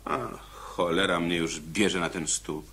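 An actor playing an irritable, stubborn convict in a radio drama, speaking in a gruff, exclamatory, grumbling way. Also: male voice, low-pitched, steady tone, measured pace, fairly bright tone. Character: irritable, stubborn male convict with blunt principles.

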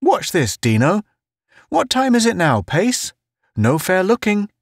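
A man's voice: high voice, huge pitch swings, measured pace, no room sound, clean studio sound, voice pushed up, heavily acted. A man voicing a jovial, needling spaceman pal in an audiobook, full of giggly, folksy teasing. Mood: gleeful teasing. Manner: gleeful, teasing, folksy wisecracking. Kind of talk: audiobook character voice.

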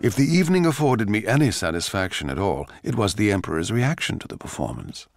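Man acting bitter composer Salieri, low creaky voice. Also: big pitch swings, soft background music. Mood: brooding, sardonic. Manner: measured, theatrical, sardonic. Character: Salieri, bitter, envious male court composer recounting his past. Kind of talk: dramatic first-person narration, radio drama.

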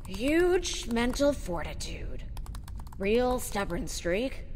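A woman plays an edgy conspiracy nut from an audio drama. Her fairly high voice swings widely between mutters and outbursts, in a reverberant, slightly noisy recording. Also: sing-song, very slow, a little mellow, heavily acted. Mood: anxious, focused. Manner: anxious, emphatic, blurting. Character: paranoid, jittery female conspiracy-theorist recluse with tech know-how. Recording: reverberant, some background noise.

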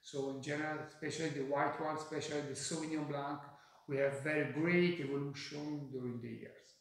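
Middle-aged Italian winemaker type, hesitant English, higher-set voice, heavy room echo. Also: male voice, steady tone. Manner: halting, searching for English words with many fillers. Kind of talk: interview answers. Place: bright kitchen-like tasting room, indoors. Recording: very echoey room.

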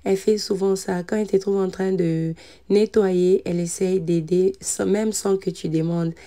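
Woman in her thirties, cleaning vlog voice-over, very dark mellow tone, flat even delivery, hesitant fillers. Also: mid-range voice, moderate pace. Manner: calm, relaxed, frequent "euh" hesitations. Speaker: cleaning vlogger, mother. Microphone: voice-over recorded separately.

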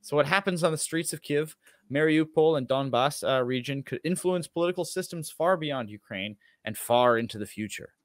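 A man's voice: a little high, moderate pace, no echo. Sardonic man, close dry sound. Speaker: show co-host. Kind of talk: reading an article aloud with casual commentary. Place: indoors at home on a video call.

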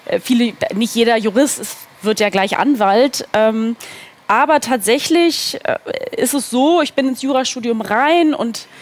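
A woman in her thirties talking casually as a talk-show guest, informal and often correcting herself mid-sentence. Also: fairly high pitch. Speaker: guest, film producer. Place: indoor talk-show studio. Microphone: headset microphone.

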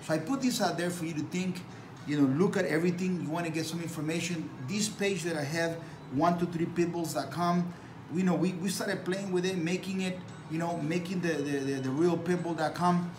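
A man's voice: fairly high pitch, steady tone, fairly quick. Man, 40s, non-native English speaker, earnest, repetitive, echoey small room, noisy background. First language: not English. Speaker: dog breeder. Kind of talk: talking to camera.

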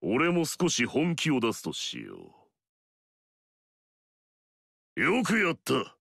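A man's voice: mid-range voice, huge pitch swings, a little slow, creaky, fairly bright tone, husky, dry recording, clean studio sound, exaggerated voice. An actor playing an arrogant, fierce male warlord in a video game, swaggering and barking battle cries.

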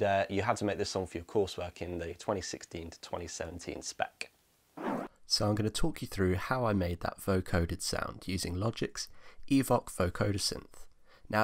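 Man, twenties, low voice, tutorial host, explanatory step-by-step delivery. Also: gravelly. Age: 20s. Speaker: music production tutorial host. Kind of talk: instructional screencast tutorial, explanatory.

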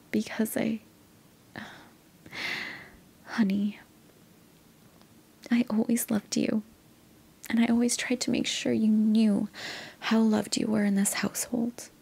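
A woman performs a sweet, nostalgic middle-aged mother in an audio roleplay. Her voice is breathy and slightly creaky, often close to a whisper. She speaks slowly and affectionately, with gentle hesitations, as if to one close listener. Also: a little high, heavily acted. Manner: unhurried, affectionate, hesitant, with soft sighs and chuckles. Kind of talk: intimate ASMR-style roleplay monologue.

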